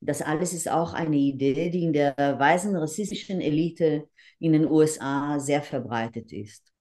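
Older woman, low mellow voice. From Israel. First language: Hebrew. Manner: measured, with frequent hesitations and restarts. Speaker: interview guest. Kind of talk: earnest explanatory interview answers. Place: living room at home. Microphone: video call.

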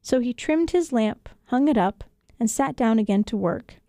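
A woman's voice: a little high, lively intonation, moderate pace, warm tone, no room sound. A woman reading a children's story as a scripted narration, recorded in a radio studio.

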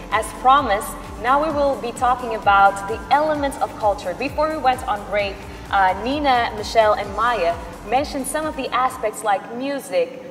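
A young woman from the United States hosting a studio interview, asking measured questions with many "you know"s. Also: on the high side, long reverb tail. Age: young adult. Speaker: talk show host. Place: indoor studio set. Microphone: lapel mic.

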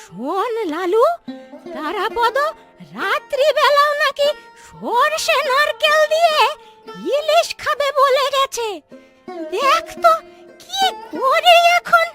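Very shrill, slow old village grandmother. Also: expressive, sharp tone, faint music behind, exaggerated voice. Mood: worried. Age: older. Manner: slow, plaintive, worried complaining. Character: poor old village grandmother, worried, long-suffering, hospitable. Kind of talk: Bengali cartoon story voice acting.

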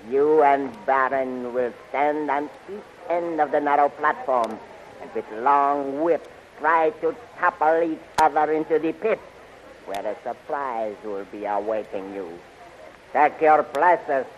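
An actor playing a cruel, commanding tyrant emperor in a 1930s radio serial, with pompous, formal delivery. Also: male voice, medium pitch, measured pace, close to an everyday voice. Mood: sinister relish. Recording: muffled, hissy 1930s radio recording.

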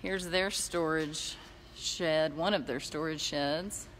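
Chatty woman, low voice. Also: steady tone, slow, a bit bright, a little hiss or hum, rough recording. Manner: chatty, laughs easily, guiding viewers around. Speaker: tiny home builder giving a tour. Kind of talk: casual walking tour chat. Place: outdoor building lot and inside tiny houses.

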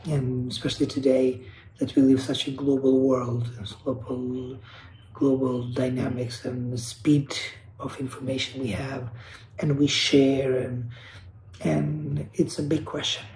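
A man's voice: medium pitch, level tone, unhurried, darkish tone, echoey room, a little hiss or hum, low-quality recording. A man from Kosovo, speaking as an artist on a panel over a video call, earnest and searching, often restarting and repeating words. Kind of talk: reflective panel talk.

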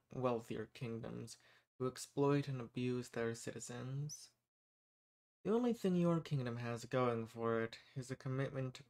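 A man voicing an arrogant, menacing archdemon in a dramatic audio roleplay, speaking in a condescending, theatrical, unhurried manner. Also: medium pitch, natural voice. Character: arrogant, menacing male archdemon who strikes bargains.

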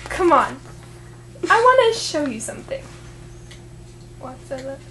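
Young woman, high pitch, director, casual group chat, slight background noise. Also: very animated, slow pace, sharp tone. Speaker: director at a table read. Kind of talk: casual chat and script reading. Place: small living room, indoors.

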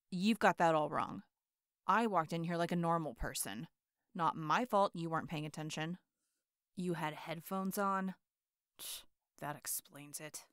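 A woman's voice: low-pitched, a bit bright, clear, resonant tone, dry recording, studio quality. A woman voicing a brash, hot-tempered teenage boy hero in an audio roleplay; he swears constantly, boasts, and snaps at everyone.

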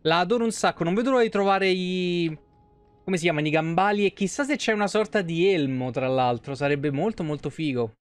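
A man's voice: sits high, brisk, clear, resonant tone, faint music behind. A man in his thirties doing casual live game commentary and chatting with viewers, informal and swearing freely. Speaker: live streamer.

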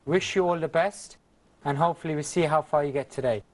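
A man's voice: on the high side, brisk. A man in his thirties hosting a TV quiz show in a studio, friendly and upbeat, on a lapel mic.

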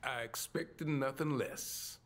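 A man playing a wry, teasing job broker in a video game cutscene, unhurried and sly in delivery. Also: medium pitch, fairly bright tone. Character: wry, smooth-talking male fixer and job broker.

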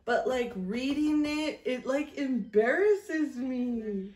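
A woman's voice: high-pitched, expressive, slow, darkish tone. A woman in her thirties chatting casually and reading aloud in a self-mocking, storytelling way. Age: 30s. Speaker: vlog host. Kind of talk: casual chat and reading aloud from old journal. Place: small room indoors at a table. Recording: echoey room.

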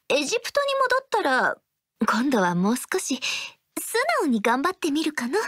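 High-pitched haughty little goddess. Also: female voice. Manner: archaic, self-important phrasing. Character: haughty, playful young goddess girl with old-fashioned speech. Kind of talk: video game character voice clips. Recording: loud game music behind.

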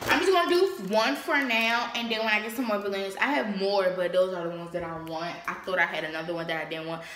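A young woman chatting casually to camera in an excitable, informal, slangy way, as the host of a home challenge vlog. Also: medium pitch, fast talker. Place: small room at home, indoors. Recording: roomy echo, noisy home laptop recording.

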